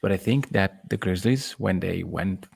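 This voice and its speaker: A man's voice: low-pitched, unhurried, vocal fry, darkish tone, husky. A man co-hosting a sports podcast in a casual, conversational debate.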